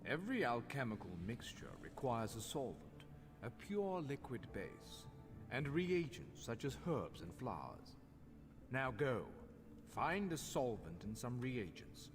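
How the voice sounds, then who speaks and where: An actor voicing a haughty, scholarly master craftsman in a fantasy video game, speaking in a formal, measured, instructional way. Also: mid-range voice, big pitch swings. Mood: instructive. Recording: long hall reverb, faint music behind.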